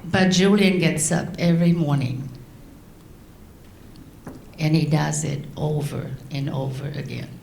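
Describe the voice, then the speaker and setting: A middle-aged woman from Laos, raised in France, giving a prepared speech to an audience in slow, deliberate phrases. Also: low-pitched, steady tone, creaky, a little mellow, reverberant, a little hiss or hum. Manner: slow, deliberate delivery with long pauses. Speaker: guest speaker.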